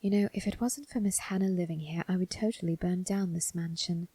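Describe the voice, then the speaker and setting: A woman voicing a sly, predatory demon disguised as a servant in a scripted ASMR roleplay, with a breathy, whispery voice and a teasing, deliberate delivery. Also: fairly low pitch, fairly even intonation, warm tone, dry recording. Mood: resentful. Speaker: voice actor.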